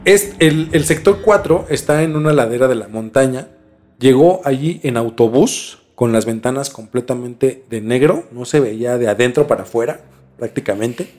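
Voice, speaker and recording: A man's voice: medium pitch, lively intonation, moderate pace, darkish tone. A man with a Mexican accent telling a story in a casual, slangy podcast chat as host. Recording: music bed behind the talk. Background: music.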